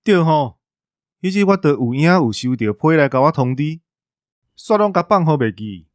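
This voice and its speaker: Male co-host, Taiwanese accent, high voice, dry close sound, whiny comic banter. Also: big pitch swings, moderate pace, no room sound.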